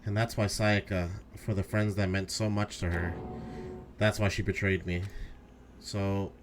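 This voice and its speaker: A man's voice: low-pitched, flat delivery, measured pace, music in the background. A man voicing an earnest, anguished teenage schoolboy protagonist in a fan dub of a video game. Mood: hurt, brooding. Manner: earnest, emotional.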